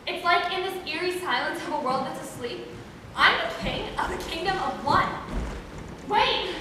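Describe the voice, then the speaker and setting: A woman's voice: very high voice, animated, moderate pace. A young woman playing a restless, sleepless dreamer in a theatrical stage monologue. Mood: yearning, excited. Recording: echoey hall from far off, faint noise.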